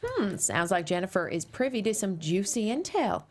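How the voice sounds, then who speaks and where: A woman's voice: mid-range voice, sing-song, a little hiss or hum, natural voice. Woman reading a chipper conservative radio-host mom. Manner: brisk, chipper and prying. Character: conservative middle-aged mother hosting talk radio. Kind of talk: table read.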